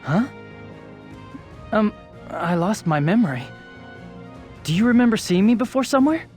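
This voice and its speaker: A hesitant, puzzled young fighter. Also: male voice, high-pitched, lively intonation, moderate pace, a little mellow, soft background music. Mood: confused. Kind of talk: video game cutscene voice acting.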